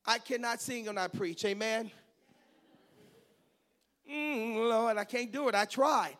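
A man in his fifties, a pastor with a raspy voice, preaching a teaching sermon with goofy, joking asides between scripture reading and prayer. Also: very high-pitched, slow, a bit bright. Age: 50s.

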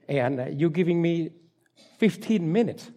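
A man's voice: on the high side, expressive, mellow, slight background noise. Cambodian-accented man giving church testimony. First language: Khmer. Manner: halting, many fillers and restarts, light self-deprecating laughs. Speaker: guest speaker at a church. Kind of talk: personal testimony to a congregation.

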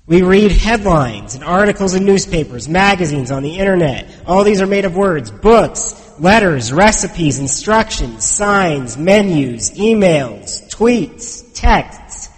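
A pastor preaching a conversational sermon in a church, hoarse and congested from a head cold, often asking the congregation questions. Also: male voice, high-pitched, animated, takes it slowly, few pauses, long reverb tail, poor audio.

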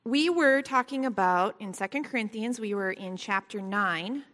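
A soft-spoken woman leading a Bible study lesson, with a prayer and a scripture reading, speaking gently and with frequent ums. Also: mid-range voice, normal speed. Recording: long hall reverb.

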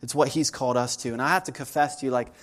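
A man's voice: mid-range voice, fairly even intonation, rapid-fire. A man, preaching a sermon to his congregation in an earnest, conversational way, often repeating his phrases. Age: 40s. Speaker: pastor.

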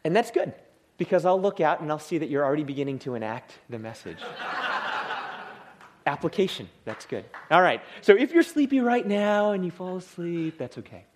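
Lively, soothing-voiced male preacher. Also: a little high, moderate pace. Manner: lively, humorous, acts out tired people. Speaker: pastor. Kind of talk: sermon. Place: church.

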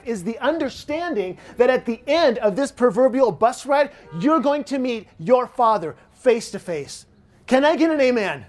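A middle-aged American pastor preaching a Sunday sermon in a church, conversational and repetitive in phrasing. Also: male voice, extremely high pitch. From United States. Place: church sanctuary.

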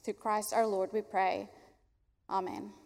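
A young adult woman pastor from Africa, an Afrikaans speaker, leading a baptism prayer in an earnest, warm way. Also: mid-range voice, little pitch movement, measured pace. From South Africa. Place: church sanctuary. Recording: long church hall reverb.

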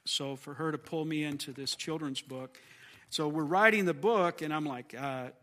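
A man with a slightly hoarse voice leading a church class, praying aloud and then chatting casually with the group. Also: a little high, normal speed, a bit bright. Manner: earnest, folksy, jokes with the class. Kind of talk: spoken prayer and casual class talk.

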